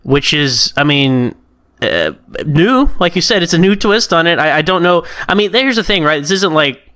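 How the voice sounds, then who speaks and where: A man co-hosting a podcast, casually giving animated opinions full of asides. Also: a little high, moderate pace, no echo, clean studio sound. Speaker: podcast co-host.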